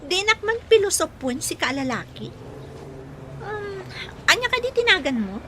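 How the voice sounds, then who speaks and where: An actress with an Ilocano accent playing a snooty, sharp-tongued young woman in a radio drama. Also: very high voice, very animated, normal speed, background noise. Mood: haughty.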